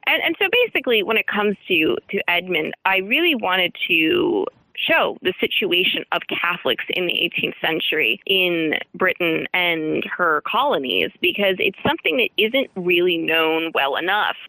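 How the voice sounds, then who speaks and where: A woman's voice: fairly low pitch, sharp tone, no echo, poor audio. A woman speaking as a guest author in an interview, explaining earnestly in digressive sentences with formal vocabulary.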